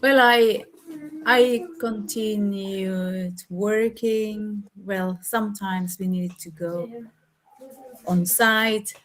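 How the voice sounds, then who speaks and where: A woman's voice: medium pitch, fairly even intonation, very slow, low-quality recording. A woman from Indonesia, a preschool teacher and English learner, chatting on a video call in a thoughtful way and laughing easily. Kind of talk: casual video call chat. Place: home in the Netherlands.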